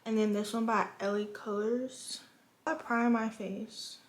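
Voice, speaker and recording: Twenty-something woman from the virgin islands doing makeup and girl talk, frank and sisterly, a bit high, roomy echo. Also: fairly even intonation, a little slow. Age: 20s. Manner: chatty, frank, sisterly, swears freely. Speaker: vlogger. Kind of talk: casual chat while doing makeup. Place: home room at a vanity.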